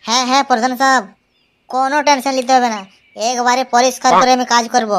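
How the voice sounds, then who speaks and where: A man's voice: very high voice, flat delivery, fast, clean tone. A man voicing an eager, naive young village census worker in a comedy cartoon, chatty and repetitive, with a rural West Bengal Bengali accent. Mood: eager, obedient. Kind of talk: amateur comedy cartoon dialogue. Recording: loud background noise, clicky home dub.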